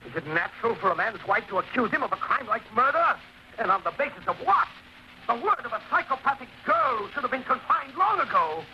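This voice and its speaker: A man's voice: extremely high pitch, big pitch swings, brisk. A man playing an agitated, bullying stepfather who questions accusingly, in old-time radio suspense style. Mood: outraged. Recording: muffled, noisy 1940s radio recording.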